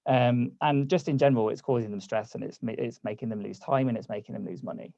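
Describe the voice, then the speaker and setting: Gravelly man hosting a video workshop. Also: medium pitch, fast talker, mellow, no echo, lo-fi sound. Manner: casual, conversational, reads chat aloud. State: losing his voice. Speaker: workshop host. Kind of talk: live online workshop, coaching. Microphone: video call.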